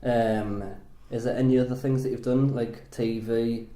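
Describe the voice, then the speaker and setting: A man hosting a radio interview who asks halting questions full of fillers. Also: medium pitch, little pitch movement, mellow, reverberant.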